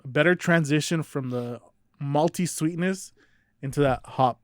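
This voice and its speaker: A man co-hosting a casual beer podcast, hesitant and thoughtful, filling pauses with "um". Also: a little high, no echo, studio quality. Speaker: podcast co-host. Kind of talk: casual chat, beer review.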